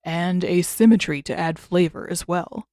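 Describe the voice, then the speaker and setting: A woman's voice: lowish voice, mellow, dry recording, studio quality, lightly acted. Woman as a rich-voiced, gloating monster spirit. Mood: gloating, hungry. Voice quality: rich, unnervingly smooth tone. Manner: slow, savoring, ornate phrasing. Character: menacing, gloating centipede spirit with a human face.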